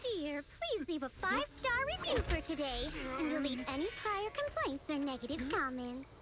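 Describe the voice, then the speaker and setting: A woman voicing a smug, sweetly threatening female agent in a video game, quiet under loud noise. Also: high voice, sing-song, normal speed, loud music behind the voice. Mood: sweetly menacing.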